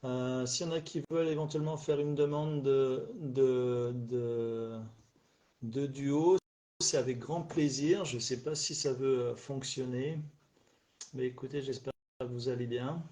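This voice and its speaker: Mellow middle-aged guy rambling on a live stream, lots of euh and restarts, bit stuffy from a cold. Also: mid-range voice, fairly even intonation, measured pace. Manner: rambling, hesitant, many fillers and restarts. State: slightly stuffy after a cold. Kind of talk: casual solo live chat. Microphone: phone or webcam on a live stream.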